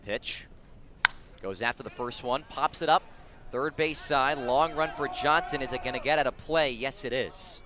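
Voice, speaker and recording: A man's voice: medium pitch, normal speed. A man doing live baseball play-by-play on the radio from the ballpark, in clipped calls that rise with the action. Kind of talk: live sports radio commentary. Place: outdoors at a ballpark.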